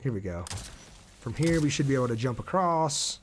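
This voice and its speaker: Male game streamer, mid-pitched voice, casual play-by-play, thinks aloud and talks to viewers. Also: lively intonation, normal speed, slight background noise. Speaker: livestream gamer, host. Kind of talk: casual livestream gameplay commentary.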